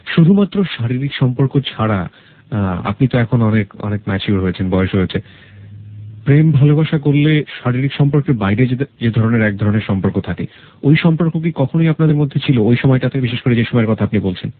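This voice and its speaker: A man's voice: medium pitch, lively intonation, a little fast, phone-quality sound. A man with a Bangladeshi accent hosting a radio interview, asking probing questions and explaining points for listeners. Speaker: radio show host. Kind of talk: probing life-story interview, summarizing for listeners.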